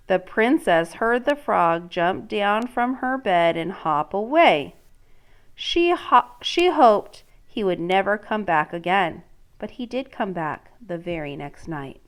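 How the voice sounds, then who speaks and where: A woman teacher reading a children's story aloud in an expressive, deliberate way, pausing to prompt and question young listeners. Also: medium pitch, clear, resonant tone.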